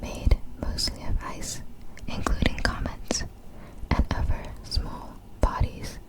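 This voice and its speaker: A woman whispering ASMR narration of facts in a breathy, fully unvoiced whisper, with careful, deliberate phrasing. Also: rough recording. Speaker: ASMR creator.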